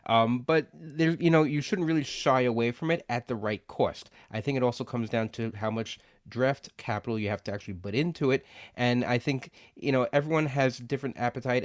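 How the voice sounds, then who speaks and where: A man's voice: medium pitch, no echo, clean studio sound. A man co-hosting a sports podcast in casual chat, loose and hedging, often repeating words as he thinks. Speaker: podcast co-host.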